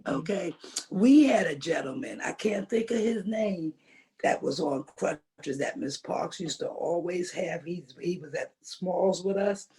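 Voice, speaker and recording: A woman's voice: fairly low pitch, lively intonation, unhurried, dark tone. An older woman, a veteran swing dancer and podcast guest, telling stories emphatically and repeating phrases to drive her point home. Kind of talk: animated conversational storytelling.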